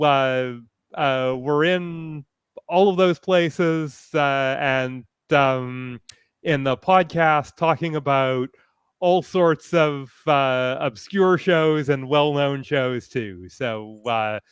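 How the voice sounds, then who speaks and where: A man speaking as a panelist in a casual online discussion, with hesitant, measured phrasing. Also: fairly high pitch, animated, clear, resonant tone, no room sound. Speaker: podcaster and panelist. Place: online video call.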